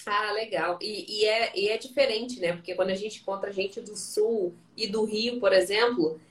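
A woman's voice: mid-range voice, fairly even intonation, moderate pace, reverberant, far from the mic, poor audio. A woman hosting a casual live-stream interview, chatty and encouraging, asking friendly questions.

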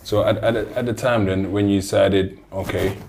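Low-voiced London interview host. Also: male voice, fairly even intonation, normal speed, gravelly, a little mellow, slight background noise. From South London. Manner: conversational, rambling questions. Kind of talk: casual interview.

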